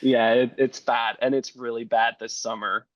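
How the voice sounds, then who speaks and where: A hoarse man talks slowly and earnestly, leaning into words of encouragement. His voice comes over a dull, lo-fi video call line that sounds dry and close. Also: mid-range voice, little pitch movement, dry recording. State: hoarse from bronchitis. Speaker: podcast guest. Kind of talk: remote interview.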